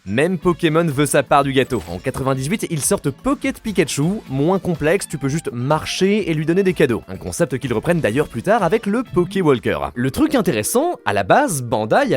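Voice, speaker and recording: A man's voice: fairly high pitch, a little mellow. A young man, hosting and narrating a scripted online video in an informal, dramatic storytelling manner. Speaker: video essay host and narrator.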